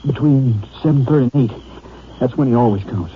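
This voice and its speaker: An American actor playing a sullen, uneasy young rural Texan with folksy, grudging speech in a 1950s radio drama. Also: male voice, mid-range voice, brisk, natural voice. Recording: tinny, noisy old 1950s radio recording.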